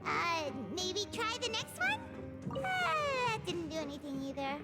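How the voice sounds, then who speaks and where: A tiny floating game sidekick, squeaky and extremely high. The delivery is childlike and excitable, swooping animatedly over loud background music. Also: female voice, a little slow, heavily acted. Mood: puzzled. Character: Paimon, small floating fairy companion, childlike, chirpy and excitable. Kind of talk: video game character dialogue.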